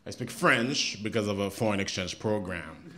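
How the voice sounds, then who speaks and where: A young man from Haiti, doing a stand-up comedy set on a handheld mic, dry and conversational with loud punchlines. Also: fairly low pitch, normal speed, heavy background noise. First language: Haitian Creole. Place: small indoor comedy club with live audience. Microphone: handheld stage mic. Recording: live club recording with loud audience laughter. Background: audience laughing.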